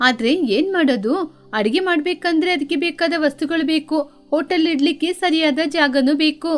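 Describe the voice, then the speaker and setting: Woman as a high-voiced, worried mother bird. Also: fairly quick, natural voice. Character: worried, good-hearted mother bird who loves cooking. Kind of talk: animated children's moral story.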